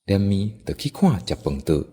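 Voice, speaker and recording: A man's voice: low-pitched, very animated, moderate pace, dark tone, husky. A man with a Taiwanese accent narrating a scripted folk story as the storyteller.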